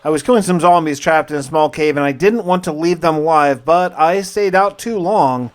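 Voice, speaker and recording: A man's voice: a little high, moderate pace. A man narrating his survival game in the first person as roleplay, talking in a casual, confiding way.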